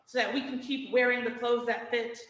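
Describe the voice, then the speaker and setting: A woman performing spoken word poetry with deliberate, declamatory phrasing on stage in a hall. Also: on the high side, fairly even intonation, heavy background noise. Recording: echoey hall with long reverb.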